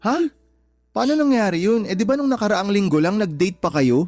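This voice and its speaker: Actor as a high-voiced, exasperated Filipino son. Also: male voice, fairly quick, a little mellow, dry recording, soft background music, close to an everyday voice. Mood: worried, coaxing. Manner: blunt, pleading, emphatic. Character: concerned, exasperated adult son confronting his mother. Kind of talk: Tagalog radio drama acting.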